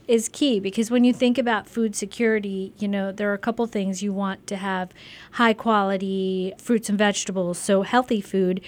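A middle-aged woman guest from Sri Lanka talks through her community work. She is earnest and enthusiastic, and her voice sits at an ordinary middle pitch. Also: darkish tone. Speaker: physician and volunteer guest. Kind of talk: studio interview answers.

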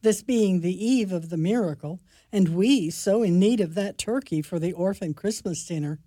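A woman's voice: on the low side, normal speed, warm tone, no echo, studio quality, natural voice. A woman playing a fussing, pious nun in a radio play. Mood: eager, prayerful. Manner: lilting, breathless, pious exclamations. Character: spry, fussing Irish-style nun, adult woman. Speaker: actor in a community radio play.

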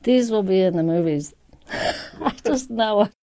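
A woman's voice: mid-range voice, lively intonation, measured pace, mellow, no room sound, clean studio sound. A woman inventor speaking in an interview, confident and conversational. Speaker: inventor and small business owner. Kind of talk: interview soundbites.

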